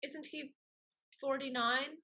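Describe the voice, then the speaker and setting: Woman as a scheming, bright-voiced society lady. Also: high-pitched, steady tone, takes it slowly, slight background noise, heavily acted. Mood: politely sly. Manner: arch, mannered, pointed. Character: scheming, catty society woman, coolly scornful. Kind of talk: theatrical Restoration comedy acting.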